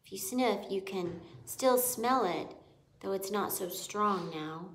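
A thoughtful young mouse boy from a storybook , played by a woman. He talks calmly and seriously at a slow pace, in an echoey room. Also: medium pitch, slight background noise.

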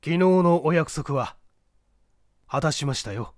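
Hesitant, quiet gentleman thief, read by a man. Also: fairly high pitch, animated, unhurried, no echo, studio quality. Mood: hesitant, restrained. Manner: hesitant, soft-spoken, polite.

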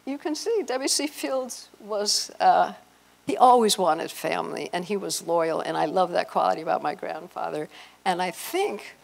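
A woman's voice: on the low side, animated, normal speed, creaky. An older woman giving an informal, sentimental talk of reminiscence into a handheld microphone. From southern California. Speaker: panel speaker at a fan convention. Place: hotel conference room with small audience.

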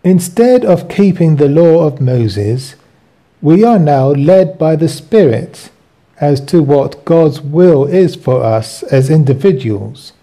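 Slow-paced man with a very muffled, mellow tone. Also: fairly high pitch, animated. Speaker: host. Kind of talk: reading own written teaching aloud, with asides.

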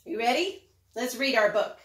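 A middle-aged woman presenting a preschool lesson to young children in an animated way, with simple phrasing and frequent questions to the listener. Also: on the high side, moderate pace. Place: indoors, home kitchen. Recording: roomy, echoey home kitchen.

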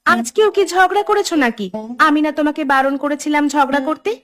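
A woman's voice: high voice, animated, a little fast, fairly bright tone. A woman voicing a caring, sensible village housewife in a cartoon, with a soothing, advising manner. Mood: reproachful. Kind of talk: Bengali cartoon voice acting.

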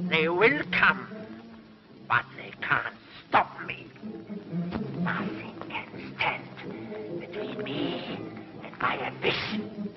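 An actor playing a vengeful, power-mad underworld ruler in a 1950s TV sci-fi serial, with a theatrical, ranting, obsessive delivery. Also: male voice, fairly high pitch. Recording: muffled 1950s TV soundtrack with music.

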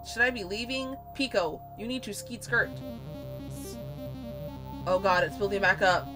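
A woman's voice: medium pitch, measured pace. A woman giving casual, rambling, exclaiming reaction commentary while she plays a video game as host. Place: indoors, seated at a gaming setup. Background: loud game music.

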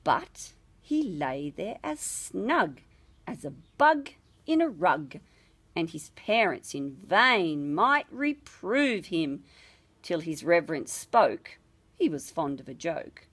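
Slow, lively woman reading aloud. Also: fairly low pitch, slightly rough. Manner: measured, dramatic storytelling. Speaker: storybook reader. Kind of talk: expressive scripted ballad reading.

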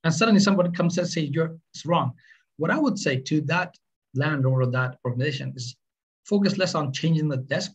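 A middle-aged man with a Swedish accent, presenting on a webinar in earnest, halting speech. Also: on the high side, breathy edge, muffled recording. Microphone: webcam on video call.

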